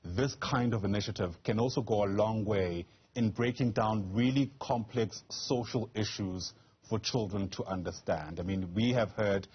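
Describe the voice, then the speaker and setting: A man's voice: on the low side, moderate pace, husky. A man interviewing on a news programme in a reflective, formal yet conversational way. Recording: slightly dull, lo-fi audio.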